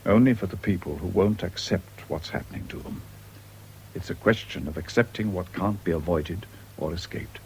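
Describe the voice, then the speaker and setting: An actor playing a calm, philosophical English counsellor in a radio drama, measured, solemn and moralizing. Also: male voice, low-pitched, slight background noise. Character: grave, philosophical male counsellor, calm and unbending.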